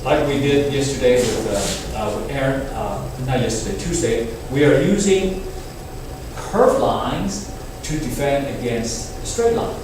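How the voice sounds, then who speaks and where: A man's voice: mid-range voice, lively intonation, a little slow. A man from Taiwan teaching a martial arts class, explaining loosely and often checking in with "okay?" and "right?". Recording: echoey hall, distant mic, background noise.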